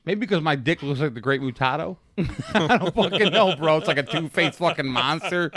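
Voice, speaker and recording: A man's voice: on the high side, normal speed, fairly bright tone. A man in his late thirties joking crudely and laughing often in casual podcast banter. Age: 30s. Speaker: podcast co-host.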